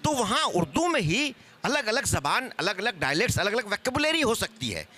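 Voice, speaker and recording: A man's voice: high voice, sing-song, moderate pace, pushed and bright. A man from India speaking as a panelist at a live seminar, explaining his points through everyday examples.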